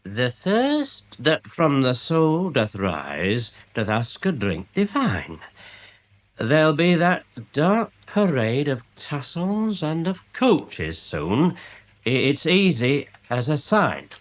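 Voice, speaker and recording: Gloomy english small-town verse writer, really slow, voice swoops about, radio play. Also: male voice, fairly high pitch, huge pitch swings, full character voice. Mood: solemn, reciting. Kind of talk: British radio comedy-mystery drama acting.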